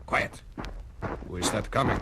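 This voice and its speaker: An actor playing a sinister foreign spy ringleader in a theatrical 1970s comic-book record drama. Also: male voice, unhurried, background noise, close to an everyday voice. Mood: urgent.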